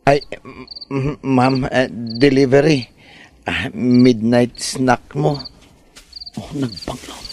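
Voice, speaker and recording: A man with an Ilocano accent playing a lovesick, dejected young delivery rider in a comedy drama, halting and plaintive. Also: medium pitch, a little mellow, a little hiss or hum, close to an everyday voice. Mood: polite, flustered. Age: young adult.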